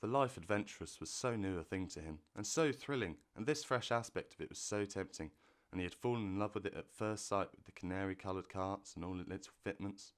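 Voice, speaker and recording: A man narrating a classic storybook in a measured, expressive reading. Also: sits low.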